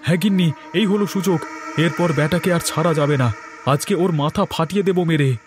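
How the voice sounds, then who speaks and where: A man voicing an excitable, easily frightened village father in a horror cartoon, urgent and exclamatory. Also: on the high side, brisk, slight background noise, lightly acted. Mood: angry, determined. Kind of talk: dubbed horror cartoon dialogue.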